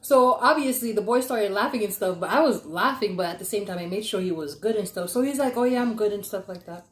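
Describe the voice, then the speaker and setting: A woman's voice: mid-range voice, animated, brisk, a bit bright. A young woman casually telling a story in a chatty, slangy way, acting out what people said. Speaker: storyteller, vlog host. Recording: roomy, echoey sound.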